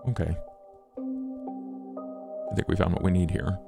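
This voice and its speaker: A man with a gravelly voice doing improvised tabletop roleplay and narration in a measured, halting delivery. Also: sits low, flat delivery, music in the background. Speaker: player in a tabletop roleplay podcast.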